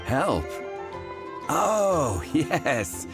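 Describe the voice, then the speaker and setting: A man's voice: a little high, big pitch swings, very slow, loud music behind the voice, exaggerated voice. A man playing a wry, frank bartender in a video game, casually businesslike and a little sardonic. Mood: wry, brisk.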